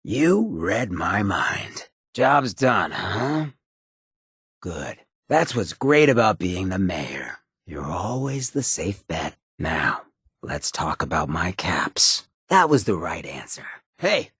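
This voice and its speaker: An actor playing a roguish, irreverent ghoul mayor in a video game, with a raspy voice, an American accent and a streetwise, sardonic drawl. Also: male voice, mid-range voice, a bit bright, dry recording, clean studio sound, exaggerated voice. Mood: smug, assured, then bitter, agitated. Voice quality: raspy, gravelly. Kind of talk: video game character dialogue.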